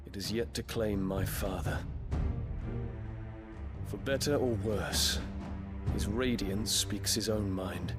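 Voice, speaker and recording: Solemn prince over music. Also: male voice, on the low side. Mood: grave, resolute. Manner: formal, measured, restrained. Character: proud, dutiful young prince and dragon knight, solemn. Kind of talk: dramatic fantasy game acting. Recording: under loud orchestral music.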